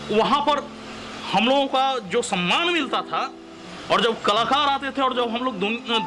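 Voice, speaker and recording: A man's voice: very high-pitched, noisy background. A man with a Bihari accent speaking in testimonial style as a stage artist. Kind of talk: tribute testimonial. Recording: music behind the voice.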